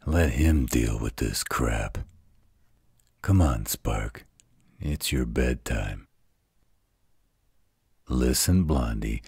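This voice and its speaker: A man playing a gruff, protective werewolf mate and father figure in a roleplay audio drama, blunt and teasing, with a husky voice. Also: darkish tone, dry recording, studio quality, full character voice. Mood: gruff, caring. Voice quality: very deep, husky, gravelly.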